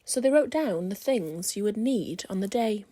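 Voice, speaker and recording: A woman's voice: medium pitch, animated, moderate pace, dark tone, dry recording, clean studio sound. A woman reading a rhyming children's story aloud as scripted narration. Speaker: storybook reader.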